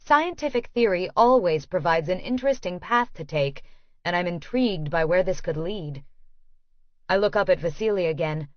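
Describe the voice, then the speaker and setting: A woman's voice: on the low side, lively intonation, moderate pace, no room sound, lo-fi sound. Woman narrator, dry studio sound. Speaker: audiobook narrator. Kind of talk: first-person scripted narration.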